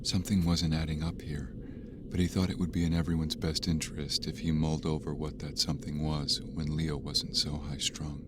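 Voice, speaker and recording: An actor narrating an unsettling domestic horror audio drama in a measured, deliberate voice. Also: male voice, very deep voice, level tone, noisy background. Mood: ominous, calm.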